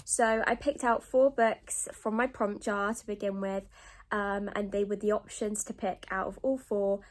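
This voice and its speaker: A woman's voice: mid-range voice, fairly even intonation, unhurried. A British woman chatting casually in a rambling book vlog, groggy and sniffly with a cold. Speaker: book vlogger.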